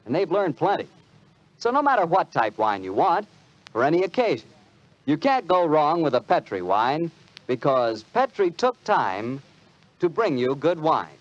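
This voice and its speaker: A man's voice: a little high, big pitch swings, a little slow, no room sound. A man with an American accent, a polished, emphatic announcer in 1940s radio style. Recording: muffled 1940s radio recording, music behind. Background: music.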